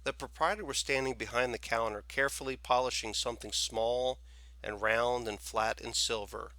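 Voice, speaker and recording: A man reading a children's story aloud as expressive scripted narration. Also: mid-range voice, normal speed, dry recording. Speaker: storybook reader, teacher.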